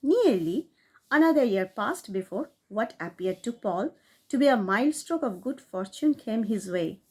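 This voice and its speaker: A woman reading a classic short story aloud, in a steady storytelling manner that rises for emphasis. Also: fairly high pitch, measured pace, slightly rough. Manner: steady storytelling read with rising emphasis on key phrases. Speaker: audiobook reader.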